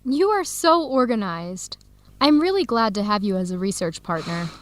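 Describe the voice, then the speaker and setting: An actress with an American accent playing an enthusiastic, curious visiting woman scholar in an educational radio drama, with clear, simple phrasing. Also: medium pitch, lively intonation, moderate pace, no room sound, natural voice. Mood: upbeat, encouraging.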